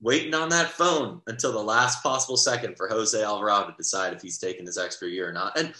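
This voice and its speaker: A man's voice: fairly low pitch, quick pace, sharp tone, reverberant. A young man co-hosting a sports podcast in casual, rambling chat, full of fillers and restarts. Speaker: podcast co-host.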